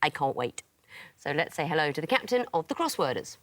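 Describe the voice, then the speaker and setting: A woman's voice: low voice, sing-song, fairly quick. Arch, teasing woman quiz host. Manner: arch, teasing, crisp delivery. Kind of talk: scripted quiz hosting with dry teasing. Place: television studio.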